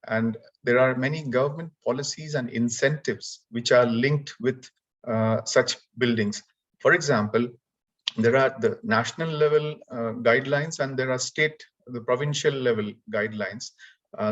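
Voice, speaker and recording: A man from India sharing an example in an online meeting, explaining earnestly with some fillers over a video call. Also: mid-range voice. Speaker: workshop participant. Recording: muffled video call audio.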